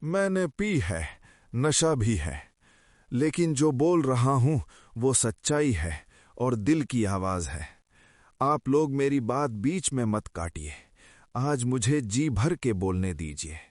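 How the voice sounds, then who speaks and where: Cartoon role: proud, tipsy businessman father-in-law, played by a man; emphatic speechmaker, deliberate pacing, animated pitch. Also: no room sound, studio quality. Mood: tipsy, insistent. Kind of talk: animated story drama acting, speechmaking.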